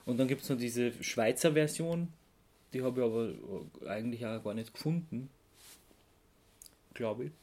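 A podcast co-host with a medium-pitched voice. The delivery is informal and conversational, explaining things in a relaxed chat between co-hosts. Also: a little slow, long pauses.

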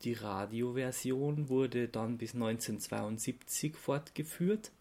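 A man's voice: mid-range voice, unhurried, darkish tone. Austrian man chatting through podcast research. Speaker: podcast host presenting research. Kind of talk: casual podcast chat, explaining research.

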